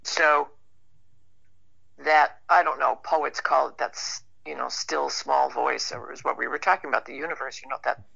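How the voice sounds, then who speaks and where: A woman's voice: rumbling low, fairly even intonation, normal speed, creaky, fairly bright tone, slightly rough. A woman chatting casually and reflectively as an interview guest, informal and plain-spoken. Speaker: interview guest, actor and writer. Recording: dull lo-fi online-call sound.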